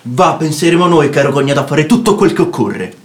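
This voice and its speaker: An actor playing a firm, sensible gentleman in a radio drama, with an authoritative manner. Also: fairly high pitch, lively intonation, a little fast, reverberant, natural voice. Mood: resolute. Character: firm, sensible gentleman brother-in-law keeping order.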